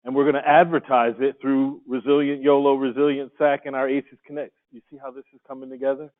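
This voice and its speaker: A man's voice: medium pitch, flat delivery, a little fast. A man facilitating a workshop, speaking in an upbeat, coaxing way and putting questions to the room. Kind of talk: leading a group discussion. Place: conference room. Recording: tinny phone-like sound.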